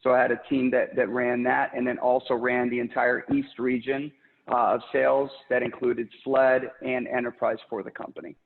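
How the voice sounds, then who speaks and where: A man's voice: medium pitch, little pitch movement, moderate pace, vocal fry. A man in his thirties from Puerto Rico, talking about his career in an upbeat work interview over a video call, with lots of fillers. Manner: enthusiastic, upbeat, many fillers. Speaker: regional sales director, interview guest. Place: home office, indoors. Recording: tinny echoey video-call audio.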